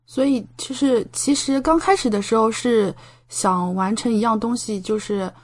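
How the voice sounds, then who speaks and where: A young woman from China chatting casually as a podcast guest, expressive and enthusiastic in the way she talks. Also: fairly high pitch, moderate pace. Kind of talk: casual chat.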